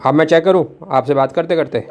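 A man's voice: on the high side, fast talker, darkish tone. A young man from India making an unscripted complaint call as a customer, pressing his point in a blunt, challenging, insistent way. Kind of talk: phone complaint, unscripted argument. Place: room at home.

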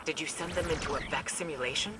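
An actor playing an earnest, alert robot guide in a video game, speaking in a crisp briefing manner. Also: male voice, a little high, fast, heavy background noise, natural voice. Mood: earnest, formal.

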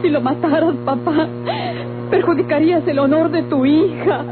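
A tinny old radio drama recording with music behind it. An actress plays a defiant young daughter with a high voice and a Mexican accent, pleading and anguished in melodramatic style. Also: female voice, steady tone, moderate pace, lightly acted. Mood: pleading, resolute.

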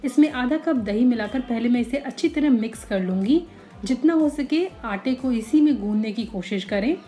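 A young woman hosting a cooking channel, calmly explaining each recipe step in a voice-over. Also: a little high, moderate pace, soft background music.

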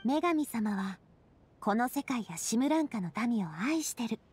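High-voiced, confident young female ruler. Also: animated, a little slow, a little mellow, slightly rough, full character voice. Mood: earnest, assured. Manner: casual, frank, assured speech. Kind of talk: Japanese video game voice acting.

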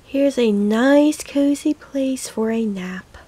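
Woman voicing an eager, high-pitched storybook puppy. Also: takes it slowly, dark tone, husky. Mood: content. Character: eager, playful young male puppy in a storybook.

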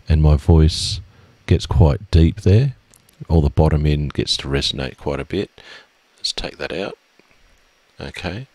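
Middle-aged man, very low gravelly voice, dry close mic, patient walkthrough teacher. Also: no room sound. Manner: steady step-by-step explaining with fillers. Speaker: music tech teacher. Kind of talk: screen-recorded software tutorial.

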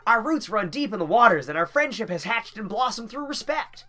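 A man's voice: high voice, lively intonation, brisk, sharp tone, voice pushed up. A man voicing a proud male king in a video game, declaiming theatrically.

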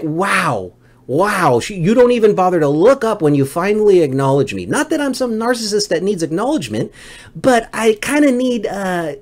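Middle-aged man, livestream storyteller, highly varied pitch, comic exasperated rant, freely swearing. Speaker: livestream host. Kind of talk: comedic storytelling monologue.

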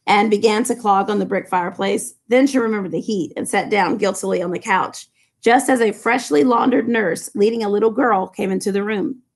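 Chatty middle-aged teacher reading aloud. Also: female voice, medium pitch, vocal fry. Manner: chatty asides full of "like" and "you know". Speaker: high school English teacher. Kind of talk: reading a novel aloud with commentary. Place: classroom with low ceiling and fluorescent lights. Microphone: laptop webcam from below.

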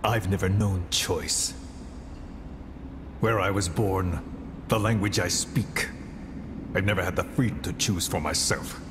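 An actor playing a cold, menacing interrogator in a game cutscene, speaking in measured short phrases. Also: male voice, low-pitched, background noise. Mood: solemn. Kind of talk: video game cutscene acting.

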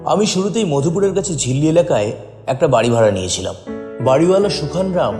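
A man's voice: on the high side, animated, fast talker. A man reading a scripted first-person story as a dramatic narrator. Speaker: story reader. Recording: long reverb, faint music behind.

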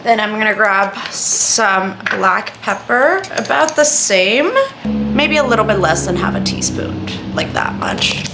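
A woman's voice: mid-range voice, animated, slow, creaky, loud music behind the voice, rough recording. A woman giving a casual, chatty home cooking demonstration, informal and full of self-deprecating asides. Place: indoors, home kitchen.

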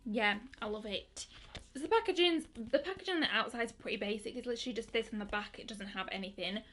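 A young woman in her twenties chatting excitedly through a makeup review, with lots of fillers and restarts. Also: a little high, fast, a little hiss or hum. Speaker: beauty vlogger. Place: bedroom with colourful lighting.

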